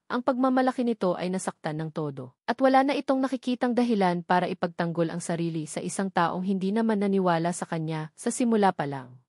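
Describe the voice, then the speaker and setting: A woman's voice: mid-range voice, warm tone, no room sound, clean studio sound. A woman storyteller giving a scripted dramatic narration in an emotive, solemn way.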